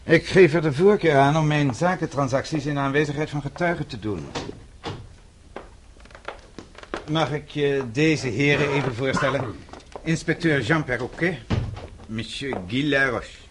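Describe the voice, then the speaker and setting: An actor playing a vengeful middle-aged father in a radio play, speaking in a measured, deliberate and formal way. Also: mid-range voice, background noise, close to an everyday voice. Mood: guarded, composed. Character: vengeful father, composed, coldly methodical older man.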